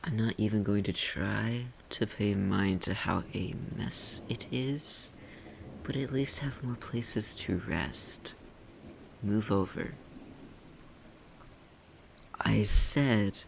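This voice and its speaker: Slow, gravelly, possessive demon acted out by an ASMR performer. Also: medium pitch, a little mellow, slight background noise. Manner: condescending, teasing, imperious, theatrically formal. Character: possessive, haughty demon toying with a human captive. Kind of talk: ASMR roleplay.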